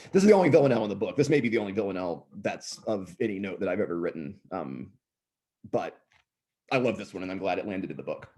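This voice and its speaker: Hoarse man with a dark, mellow voice. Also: mid-range voice. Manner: measured recitation, rambling chatty asides. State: hoarse, clearing his throat. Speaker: poet reading his own work. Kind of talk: poetry reading with casual asides.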